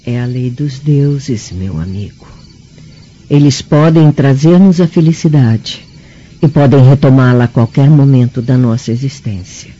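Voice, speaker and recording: An actress with a Brazilian accent playing a mature, haughty Roman noblewoman in a radio drama, speaking in a formal, measured, disapproving way with a husky, mellow voice. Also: rumbling low. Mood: solemn, measured. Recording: dull, muffled radio drama recording.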